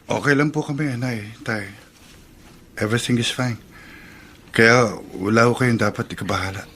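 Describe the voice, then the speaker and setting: A man's voice: medium pitch, normal speed, a bit bright, breathy edge. An actor playing Jester, an earnest young man who respectfully pleads with his parents and resolves to defend his lover. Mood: pleading. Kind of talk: Filipino radio-style drama acting.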